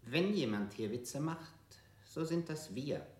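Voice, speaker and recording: A man's voice: on the high side, expressive, takes it slowly, reverberant. An actor playing a hard-line male interrogator in a radio drama, curt and accusing.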